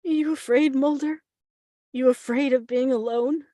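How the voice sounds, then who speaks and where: A woman's voice: high-pitched, steady tone, normal speed, dark tone, dry recording, studio quality, close to an everyday voice. An actress playing a weakened, wounded woman agent, fearful yet defiant, at a script table read. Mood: challenging.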